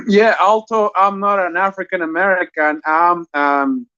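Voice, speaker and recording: A man from Mexico calls in, speaking English in a halting, hesitant way. His voice sits a little high. The line sounds dull and lo-fi. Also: steady tone, pushed and bright, smooth. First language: Spanish. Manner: halting, hesitant, with filler pauses. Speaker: caller.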